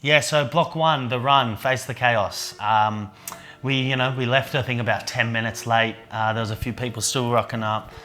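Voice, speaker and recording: A man's voice: mid-range voice, steady tone, moderate pace, soft background music. A man, an event organiser and men's coach, talking earnestly and reflectively in a documentary interview. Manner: soft-spoken, earnest, with fillers.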